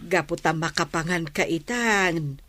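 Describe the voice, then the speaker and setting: A gentle older mother acted by a woman in a village radio drama. She speaks very slowly in a slightly low voice, counselling her daughter. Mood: caring. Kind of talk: Ilocano radio drama acting.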